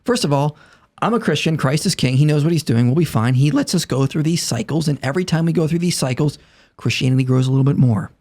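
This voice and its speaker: A man's voice: a little high, animated, quick pace, darkish tone. A man in his thirties, a podcast guest, explaining his views in an earnest, conversational way with frequent chuckles. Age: 30s. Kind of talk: casual conversational chat.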